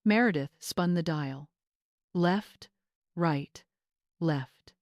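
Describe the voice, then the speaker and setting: Female storyteller for dramatic scripted fiction; slightly low voice, dry studio-like sound. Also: very slow, darkish tone, dry recording, lo-fi sound.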